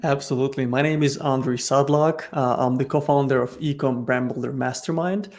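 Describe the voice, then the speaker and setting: Ukrainian-accented man with a mellow voice. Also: medium pitch, fairly even intonation, normal speed. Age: 30s. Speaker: podcast guest. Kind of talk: casual business chat.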